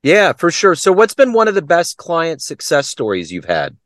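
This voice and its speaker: Lively male interview host. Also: on the high side, moderate pace, no room sound, studio quality. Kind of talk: interview questions.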